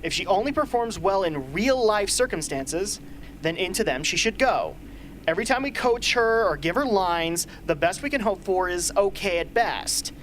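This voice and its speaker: A woman playing a brisk, sardonic rebel strategist in an amateur radio drama, speaking emphatically. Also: mid-range voice, lively intonation, slight background noise, natural voice, rough recording. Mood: insistent.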